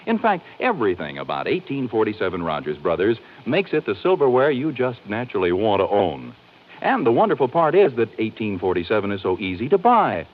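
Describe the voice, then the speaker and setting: Casting: American man, polished vintage radio pitchman, swooping intonation, muffled period recording. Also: wildly swooping pitch, fairly quick. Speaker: radio announcer. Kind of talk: 1940s radio announcing and advertising. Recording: dull old radio recording.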